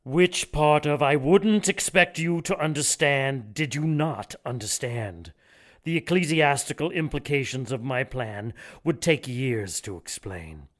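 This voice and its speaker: An actor playing a gloating, condescending villain bishop in an audio drama, with a pompous, taunting delivery. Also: male voice, mid-range voice, a bit bright. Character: gloating male villain bishop plotting apocalyptic sacrifice.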